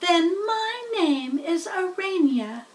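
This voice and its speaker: A tiny young spider girl, played by a woman reading a storybook, speaks in a very high, small voice. She is friendly and dainty, with slow, polite, sing-song phrasing. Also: sing-song, recorded from a distance, heavily acted. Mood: playful, curious.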